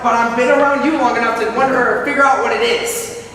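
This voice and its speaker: A woman's voice: a little high, steady tone, quick pace, fairly bright tone, lightly acted. A woman acting in an amateur stage play as a fussy, easily flustered, long-suffering cousin. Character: fussy, anxious, long-suffering cousin named Xavier, hopeful homebuyer. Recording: very echoey hall, distant mic.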